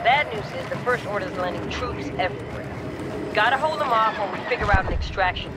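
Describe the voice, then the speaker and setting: A man performs a plain-spoken rebel squad leader from a game, determined and urgent. His voice sits very high for a man, with clipped informal phrasing over clearly noisy battle ambience. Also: a little fast, natural voice. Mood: urgent, wry. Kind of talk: dramatic video game briefing.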